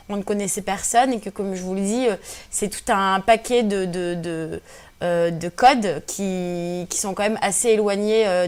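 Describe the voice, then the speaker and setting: A woman in her twenties from Algeria, a native Berber speaker, answering interview questions earnestly with many hesitations and fillers. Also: medium pitch. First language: Berber (Kabyle). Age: 20s. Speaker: guest activist.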